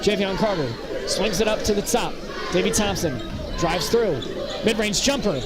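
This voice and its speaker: A man's voice: high-pitched, animated, normal speed. A man doing live play-by-play basketball commentary in clipped, fragmentary calls. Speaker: play-by-play announcer. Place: indoor basketball gym, up behind a balcony. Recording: loud gym crowd and court noise. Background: crowd, bouncing basketballs.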